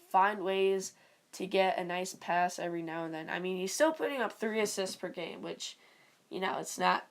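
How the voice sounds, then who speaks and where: A woman with a raspy voice and a stuffy nose, giving rambling, casual sports commentary for her video channel. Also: fairly low pitch. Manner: rambling, full of "like" and "you know". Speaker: video creator.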